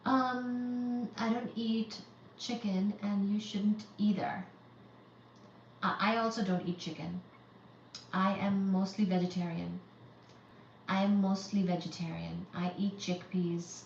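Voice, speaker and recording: Slow-talking woman in her thirties. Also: mid-range voice, steady tone, vocal fry, a little mellow, a little hiss or hum. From Central Asia. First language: Uzbek. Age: 30s. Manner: reads viewer comments aloud and greets them one by one. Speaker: livestream host. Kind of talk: live-stream chat. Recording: dull, roomy, distant from the mic.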